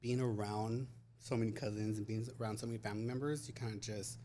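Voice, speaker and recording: A man's voice: on the low side, steady tone, quick pace, noisy background. Laid-back young man, chatty guest. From Mexican-American family, grew up in US. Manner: laid-back, chatty, lots of fillers and laughs. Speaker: guest, content creator and photographer. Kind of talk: casual interview chat.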